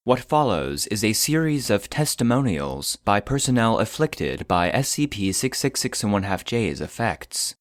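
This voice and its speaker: Low-voiced deadpan male narrator. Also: moderate pace, few pauses, no echo, clean studio sound. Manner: formal, deadpan. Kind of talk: scripted narration of mock scientific report.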